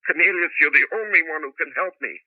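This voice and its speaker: Distraught scientist husband, radio play, rough-edged voice, stammering pleas, dry narrow-band recording. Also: male voice, a little high, fast, no room sound. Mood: anguished. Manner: stammering, pleading. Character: distraught male inventor scientist desperate to save his wife. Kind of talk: radio drama acting. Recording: muffled phone-like old radio broadcast.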